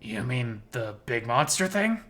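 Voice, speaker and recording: Guy doing a innocent young bat boy for a visual novel, kinda flustered n upbeat, bright voice. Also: medium pitch, big pitch swings, a little slow. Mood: confused. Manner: hesitant, earnest, flustered.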